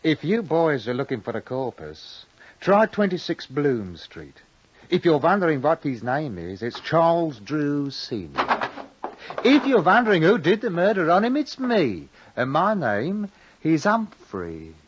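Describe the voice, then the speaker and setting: An actor playing a shrewd, blunt baker who drives a bargain in a dry, matter-of-fact way, in old-time radio drama style. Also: male voice, fairly high pitch, sing-song, a little slow, background noise. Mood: blunt confessing. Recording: muffled vintage radio recording.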